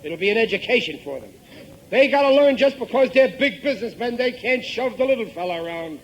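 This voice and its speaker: A man's voice: very high-pitched, expressive, a little fast, highs cut off. An actor playing a stubborn, petty working-class family man, folksy and blustering, in an old-time radio sitcom. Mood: scheming, indignant. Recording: noisy vintage 1950s radio broadcast.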